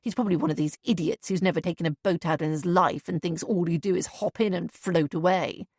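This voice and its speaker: A woman voicing a blunt, protective grown man with a husky, growling voice in a storybook reading. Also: fairly low pitch, a little mellow, dry recording, studio quality, voice pushed down. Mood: angry. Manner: blunt, sarcastic, quick to anger. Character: gruff protective adult man, teasing brother.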